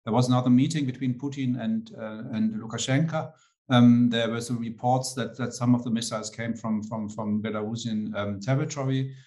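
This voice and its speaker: A man's voice: medium pitch, fairly even intonation, fairly quick, mellow. A man moderating a panel asks a question hesitantly, repeating words as he goes.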